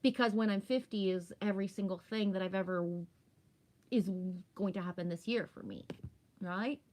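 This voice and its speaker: A woman's voice: medium pitch, normal speed, darkish tone. A woman of about fifty chatting casually as a livestream host, rambling and emphatic, candid, often tagging her points with a questioning check. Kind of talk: casual spiritual livestream chat.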